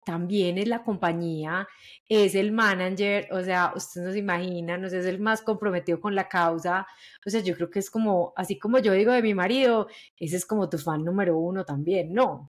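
A woman's voice: on the low side, normal speed. Chatty Colombian woman hosting a podcast. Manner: chatty, colloquial, emphatic. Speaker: podcast host. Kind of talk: casual conversational interview.

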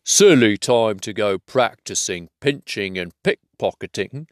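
A cross, hungry dwarf, as a man plays him, complaining in slow, grumbling tones. Close and dry. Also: lowish voice, lively intonation, no room sound. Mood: peevish. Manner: peevish and slow.

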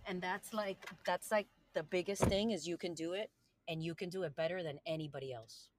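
A woman's voice: lowish voice, a little fast, noisy background. A woman from Colombia whose first language is Spanish, speaking earnestly and encouragingly as a podcast interview guest.

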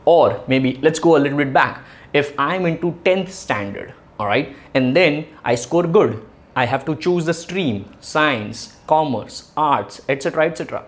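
A man's voice: a little high, lively intonation, normal speed. A man in his thirties with an Indian English accent, explaining a topic in a casual, chatty video, laughing between points and asking rhetorical questions. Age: 30s. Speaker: online marketing educator.

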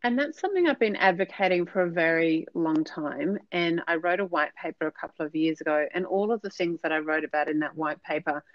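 A woman's voice: lowish voice, a little fast, few pauses, no room sound, lo-fi sound. Earnest woman explaining business ideas. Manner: earnest, explanatory, with frequent fillers. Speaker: podcast guest, business consultant. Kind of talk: conversational interview answers.

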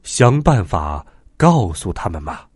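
A man voicing a calm, commanding mountain god in a children's story, measured and watchful. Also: low voice, husky, no echo, pitched below the performer's own voice, heavily acted. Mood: firm caution. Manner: measured, instructing. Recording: music behind the voice.

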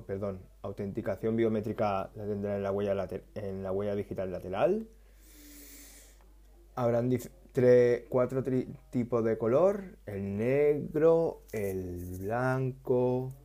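Slow, low-voiced tech vlogger. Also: male voice, dark tone. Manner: halting, restarts and self-corrections, musing. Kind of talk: casual tech news chat.

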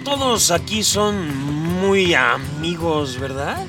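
A man playing a naive, soft-hearted young adventurer in a radio drama, with a colloquial Mexican accent. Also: on the high side, expressive, extremely slow, recorded from a distance, music in the background. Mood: curious. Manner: colloquial, slangy.

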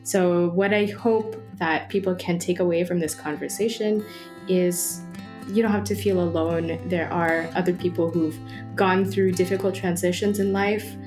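Calm woman podcast host. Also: fairly low pitch, normal speed, over music. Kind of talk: podcast intro and interview.

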